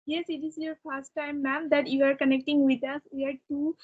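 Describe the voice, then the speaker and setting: High young girl practising English. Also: little pitch movement, brisk. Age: child, about twelve. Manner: formal rehearsed learner phrasing. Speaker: young English learner with a video channel. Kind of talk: earnest conversation practice. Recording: dull, narrow phone-like call.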